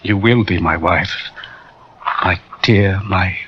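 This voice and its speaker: An actor playing a gentle, grieving, devoted father in a 1940s radio drama, tender and earnest. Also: sits low, slow pace, background noise. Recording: muffled old radio recording.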